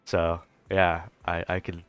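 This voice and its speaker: A man's voice: low-pitched, monotone, takes it slowly, clear, resonant tone, dry recording. A man giving casual, rambling, hesitant reaction commentary on a video game, swearing now and then. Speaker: gaming streamer reacting to a story quest.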